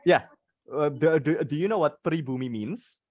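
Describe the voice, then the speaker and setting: A man's voice: a little high, animated, moderate pace, a little mellow, dry recording. A man with an Indonesian accent teaching a casual live English class, repeating words and phrases as he explains encouragingly. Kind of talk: casual conversational lesson, switching between two languages.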